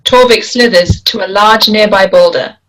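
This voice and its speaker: A woman with a mid-pitched voice narrates a screenplay at a table read. She reads the stage directions, with faint noise and clicks behind her. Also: normal speed, a bit bright, low-quality recording.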